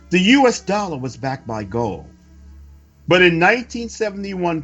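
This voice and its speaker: A man reading a scripted sermon-like report in a solemn, formal, measured oratorical manner. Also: a little high. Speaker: religious reader delivering a prophecy report. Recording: dull lo-fi recording with music behind. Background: music.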